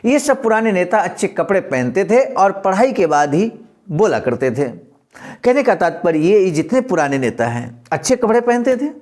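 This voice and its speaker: A man presenting a historical political speech, reading it aloud in a formal, explanatory and oratorical manner. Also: high voice.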